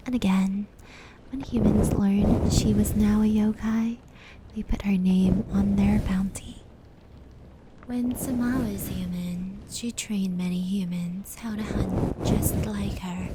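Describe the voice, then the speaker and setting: A woman acts out a gentle female yokai telling stories in an ASMR roleplay. Her delivery is very unhurried and soothing, soft and breathy, often slipping toward a whisper. Clear rain and brushing noise runs behind her. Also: medium pitch, lightly acted. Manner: unhurried, soothing, measured narration. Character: gentle female yokai storyteller, caring and protective companion. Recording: steady rain and brushing noise layered over. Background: indoor rain patter and fur brushing.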